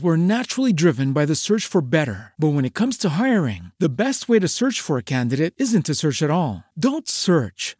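A man's voice: fairly high pitch, darkish tone, no echo, studio quality. A man reading a scripted sponsor ad in an upbeat, salesy way. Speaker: ad announcer. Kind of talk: scripted ad read.

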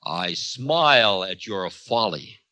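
A man's voice: on the low side, animated, slow pace, bright, forceful tone, no echo, exaggerated voice. Man as a bold, mocking demon king. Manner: scornful, swaggering, theatrical.

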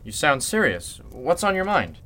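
A level-headed lawyer from a vintage-style radio play, played by a man. The voice is a touch high and very animated in intonation. He talks politely, with slightly formal, measured phrasing. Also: exaggerated voice. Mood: puzzled. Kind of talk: old-time radio drama acting.

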